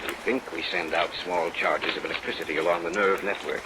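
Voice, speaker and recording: A man's voice: deep voice, steady tone, a little fast, lightly acted. An actor playing a driven, fatherly older scientist in a 1950s radio drama. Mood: earnest, persuasive. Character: older male scientist, fatherly, driven, obsessed with reviving dead. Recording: noisy vintage radio recording, rain sounds behind.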